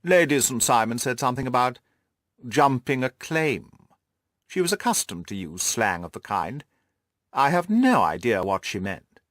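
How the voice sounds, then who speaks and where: A man's voice: medium pitch, sing-song, normal speed, fairly bright tone, no echo, studio quality. A man voicing a haughty, self-satisfied lord in an audiobook, speaking in a pompous, formal, long-winded way. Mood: aloof. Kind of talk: audiobook character dialogue.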